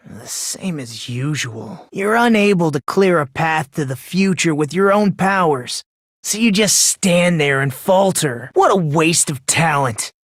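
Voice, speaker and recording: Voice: mid-range voice, lively intonation, a little slow, dry recording, studio quality. An actor voicing a polite but unsettling teenage boy in a video game, self-deprecating with a smug, condescending streak. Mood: scornful.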